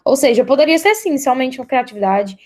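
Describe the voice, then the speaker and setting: A woman's voice: sits high, animated, fast, darkish tone. Young Brazilian woman presenting a project. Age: young adult. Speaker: university student presenter.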